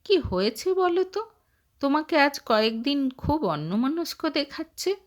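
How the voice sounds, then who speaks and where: A woman's voice: high voice, sing-song, unhurried, pitched above the performer's own voice. A woman voicing a stern, protective, old-fashioned husband, earnest and reproachful, in a dramatised story. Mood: concerned. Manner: earnest, reproachful questioning and advising.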